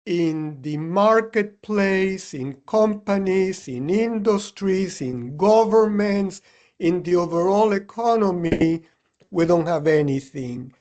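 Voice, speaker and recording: A man, speaking in a measured, reflective way as a podcast co-host. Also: sits high, darkish tone. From Cuba. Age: 70s or older. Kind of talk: conversational podcast discussion.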